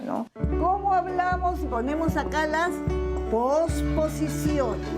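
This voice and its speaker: A woman who teaches Quechua, answering interview questions in a reflective, explanatory way with hesitant restarts. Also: high-pitched. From Peru. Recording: light music underneath.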